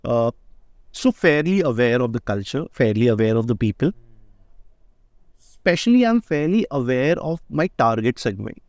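A man's voice: mid-range voice, very animated, moderate pace, pauses often, darkish tone, no room sound, clean studio sound. A man, a startup founder, answering interview questions in a casual, emphatic way and often asking "right?". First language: not Indonesian. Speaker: startup founder and CEO, guest.

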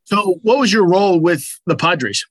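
A man's voice: sits high, expressive, a little slow, husky, no echo. Conversational male sports interviewer. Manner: conversational, leading questions.

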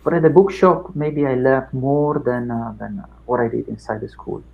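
A middle-aged man with an Italian accent, Italian his first language, talking through his past in an unhurried interview with many fillers and restarts. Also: medium pitch, vocal fry, dull audio. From Italy. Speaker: academic guest. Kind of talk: reflective interview chat.

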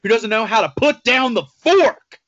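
A man's voice: very high-pitched, lively intonation, normal speed, fairly bright tone, dry recording. A man co-hosting a casual podcast, rambling and joking at his own expense. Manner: joking, rambling, self-mocking. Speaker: podcast co-host. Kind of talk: casual banter. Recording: dull lo-fi sound.

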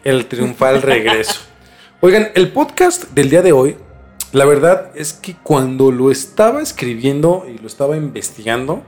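A man's voice: medium pitch, very animated, measured pace, darkish tone, faint music behind. A man hosting a mystery podcast in a conversational presenting style. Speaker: podcast host.

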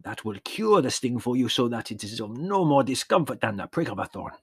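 A man's voice: mid-range voice, very animated, breathy edge, no echo. A man doing a toothless old village shepherd. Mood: storytelling. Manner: slow, dramatic, relishing gruesome detail. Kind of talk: audiobook character voice.

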